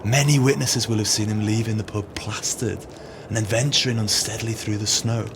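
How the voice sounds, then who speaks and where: An actor playing a cold, calculating swindler with a wry, scheming manner in an audio play. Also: male voice, lowish voice, steady tone, a little fast, noisy background, lightly acted. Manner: wry, scheming, colourful phrasing. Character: cold, calculating male swindler plotting murder.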